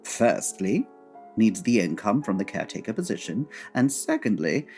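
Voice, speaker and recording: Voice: medium pitch, sing-song, moderate pace, a little mellow, soft background music. An actor playing a haughty male Victorian detective in a comedy audio drama, pompous, precise and formal. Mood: smug, lecturing.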